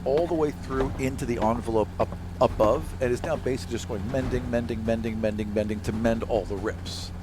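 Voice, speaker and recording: A man's voice: medium pitch, fairly even intonation, brisk, few pauses, noisy background. A man running a tabletop fantasy game as game master, narrating and refereeing in an informal, agreeable way.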